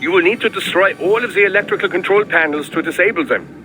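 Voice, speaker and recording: An actor playing an eager, fussy scientist with a German accent, giving precise, theatrical mission advice in a video game. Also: male voice, sits high, fast, background noise, close to an everyday voice. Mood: explanatory. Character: excitable, fussy European male scientist mission adviser. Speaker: mission support over radio.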